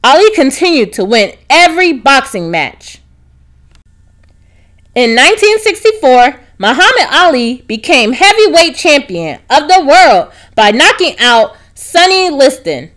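A woman narrating a children's storybook, reading aloud expressively with clear enunciation. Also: high voice, big pitch swings, measured pace, a bit bright. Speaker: narrator, read-aloud reader.

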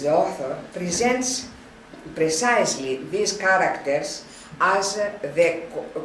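Older woman reading a lecture slowly, roomy. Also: sits low, a little hiss or hum. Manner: slow, halting reading with frequent restarts. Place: wood-panelled room, indoors. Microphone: camera at a distance. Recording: roomy, distant camera sound.

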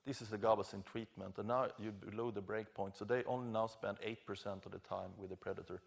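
A middle-aged man giving an academic conference lecture, explaining informally and haltingly with self-corrections. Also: fairly low pitch, fairly even intonation, creaky. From Scandinavia. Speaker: professor, keynote lecturer. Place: large lecture theatre stage at a podium.

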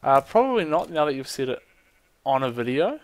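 A young man chatting casually while playing a game with a friend, reacting quickly. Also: medium pitch, big pitch swings, smooth, dry recording. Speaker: gamer. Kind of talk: casual let's play chat.

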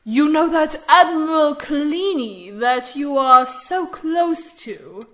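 Woman acting a high, cold, scheming queen mother. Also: sharp tone, full character voice. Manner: deliberate, manipulative. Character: cold, scheming queen mother goading her royal son. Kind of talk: educational audio drama acting.

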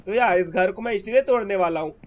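Hoarse high-voiced young man gaming. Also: fast. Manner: chatty, keeps calling viewers brother. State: hoarse from a sore throat. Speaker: gaming channel host. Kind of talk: casual gameplay commentary.

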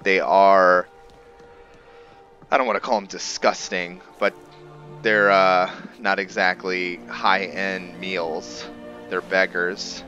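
A man giving roleplay game commentary, musing and pausing often as he talks. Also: low voice, flat delivery, bright, forceful tone, lo-fi sound, soft background music. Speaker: gameplay video host. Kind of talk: roleplay game commentary with some reading aloud.

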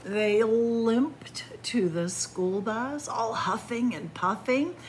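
A middle-aged woman reading a picture book aloud to children in an animated, deliberate storytelling manner. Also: a little high, slight background noise. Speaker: library story-time reader. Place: indoors in a library among bookshelves.

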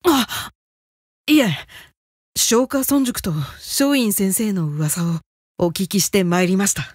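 A woman's voice: mid-range voice, slow pace, no echo. A woman playing a nervous, starstruck young male student in a voice drama, speaking formally and getting flustered. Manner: formal, flustered inner monologue. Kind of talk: anime-style voice drama.

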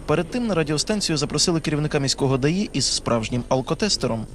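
A man's voice: a little high, animated, fast, a little hiss or hum. A man reading scripted narration as a television news reporter.

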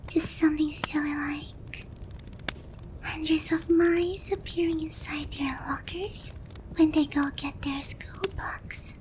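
A lonely young witch girl, played by a woman, speaks in a very high, soft, breathy voice. She coaxes sweetly and slowly with a possessive edge, in ASMR roleplay style, over audible background noise. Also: steady tone. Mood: soft, coaxing. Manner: slow, gentle, coaxing.

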